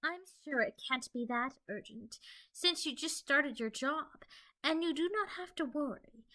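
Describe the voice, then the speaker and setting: A woman's voice: high voice, animated, unhurried, pushed and bright, voice pushed up, full character voice, poor audio. A woman voicing a regal, caring pony princess who speaks in a formal, decisive way in a fan audio drama. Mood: reassuring.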